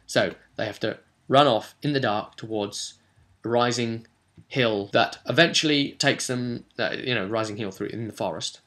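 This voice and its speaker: A man's voice: mid-range voice, moderate pace, fairly bright tone. A man giving a casual, unscripted game master's recap, telling it in a rambling, enthusiastic way and often correcting himself.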